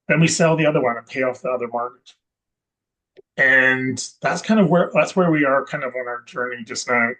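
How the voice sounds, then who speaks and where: A man from Scotland, a guest investor chatting in an interview, rambling and correcting himself with many pauses and restarts. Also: medium pitch, sharp tone, poor audio. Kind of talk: casual interview chat.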